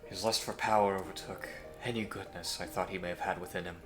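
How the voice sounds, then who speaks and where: A man playing a courteous, earnest young Victorian gentleman hero in a scripted audio roleplay, with formal, hesitant phrasing. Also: low voice, steady tone, quick pace, music in the background, natural voice. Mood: grave, sorrowful.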